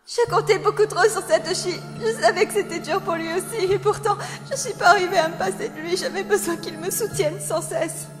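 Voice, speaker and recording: Tiny high girl voice, tearful but stubborn, anime dub, big echoey reverb w music. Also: a little fast, few pauses. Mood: sorrowful confession. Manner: emotional, tearful, insistent delivery. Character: tormented little girl, defiant, resolute, devoted to her brother. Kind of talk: French anime fandub acting. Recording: long hall reverb under music.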